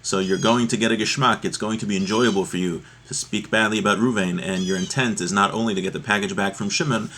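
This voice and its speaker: A man's voice: on the low side, fairly bright tone, a little hiss or hum. A man giving an informal class as a religious teacher, reading from a text and explaining it conversationally, restating points in plain everyday terms. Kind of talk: informal text study, reading aloud and explaining to a livestream audience.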